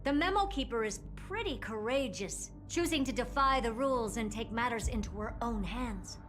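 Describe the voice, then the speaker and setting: A woman's voice: on the high side, lively intonation, normal speed, a bit bright, soft background music. An actress voicing an observant, composed woman commenting on events in a video game. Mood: thoughtful.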